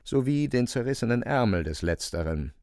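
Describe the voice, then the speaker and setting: A man narrating a literary radio drama with wry, expressive storytelling. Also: medium pitch, normal speed, clean tone, no room sound, clean studio sound.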